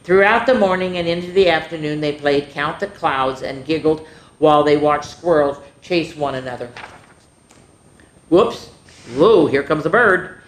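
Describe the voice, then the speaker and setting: A woman storyteller reading a picture book aloud to children, with lively, chatty asides to the kids. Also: low-pitched, a little slow. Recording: roomy echo.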